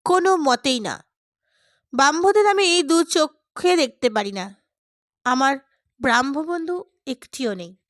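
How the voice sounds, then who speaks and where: A woman's voice: high-pitched, animated, unhurried, pauses often, no room sound, studio quality. A woman voicing a passionate, stubborn young man who speaks earnestly and emphatically to his dear friend in an audiobook. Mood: impassioned, hurt.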